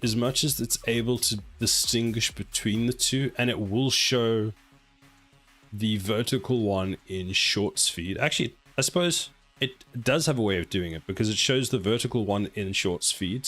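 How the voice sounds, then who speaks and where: A man's voice: on the low side, moderate pace, a bit bright, soft background music. A man in his forties from South Africa, with a South African accent, chatting casually with viewers while working on a game during a live stream. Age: 40s. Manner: reads chat aloud and answers, rambling and self-mocking.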